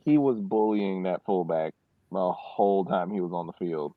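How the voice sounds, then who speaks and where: A man's voice: sits low, moderate pace, no echo. A man giving casual soccer opinions as a podcast panelist, recorded on a dry, lo-fi microphone. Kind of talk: casual soccer analysis chat. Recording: dry, slightly dull lo-fi mic.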